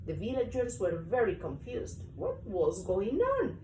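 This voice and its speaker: A woman reading a children's story aloud to a young child in an animated, expressive way. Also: medium pitch, a little slow. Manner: expressive, acts out story parts. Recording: roomy, distant mic, faint noise.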